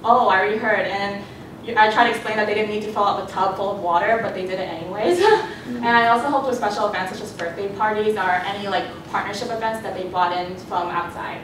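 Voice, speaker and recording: A young woman whose first language is Cantonese, giving a student presentation in a conversational way with fillers and restarts. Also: fast. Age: young adult. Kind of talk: presentation talk. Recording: echoey hall, voice from a distance, background rumble.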